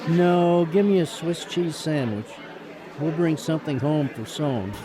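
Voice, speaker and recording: A man playing a wry mortgage man with a soft heart in a radio drama, speaking dryly and earnestly. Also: fairly high pitch, unhurried, lightly acted, rough recording. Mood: resolved.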